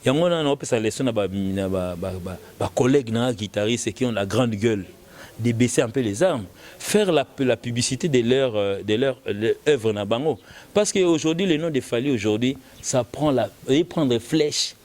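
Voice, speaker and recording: A man's voice: medium pitch, lively intonation, normal speed, darkish tone. A man with a Congolese accent talking casually and giving opinions, often repeating words as the argument builds. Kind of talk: casual opinionated talk mixing French and Lingala.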